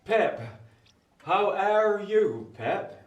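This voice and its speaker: An actor playing a humble, kindly country blacksmith, fumbling and deferential with rustic grammar, in a radio play. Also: male voice, high-pitched, expressive, very slow, recorded from a distance, lo-fi sound, heavy background noise, full character voice. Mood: warm awe. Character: humble, kindly country blacksmith, awkwardly polite among gentlefolk. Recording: echoey room, off the mic.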